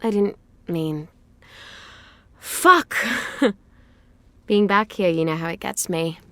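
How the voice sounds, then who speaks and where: An actress playing a sharp, rattled young student and fiancée in an audio drama, snappy and evasive. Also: female voice, lowish voice, slow, no echo. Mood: regretful, subdued. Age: young adult.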